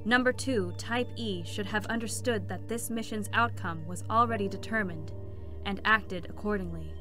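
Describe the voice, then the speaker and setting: A woman's voice: mid-range voice, moderate pace, over music, natural voice. A woman playing an emotionless, formal robotic support unit, reading in clipped, procedural phrases in a game drama. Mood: impassive, insistent.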